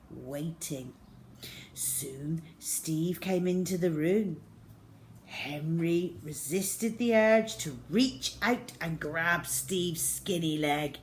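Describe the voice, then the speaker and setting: A woman reading a story to children as host, dramatic, with a way of dropping into suspenseful hush. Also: fairly low pitch. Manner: dramatic, with hushed suspenseful drops.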